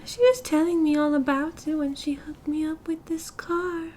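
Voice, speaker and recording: Woman acting a high-voiced British yandere girlfriend. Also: steady tone, a little mellow, slight background noise, natural voice. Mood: breezy, gossipy. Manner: giggly, breezy, dramatic, chatty with drawn-out casual delivery. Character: cheerful, oblivious, possessive yandere girlfriend, breezily menacing kidnapper. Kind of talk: ASMR roleplay acting.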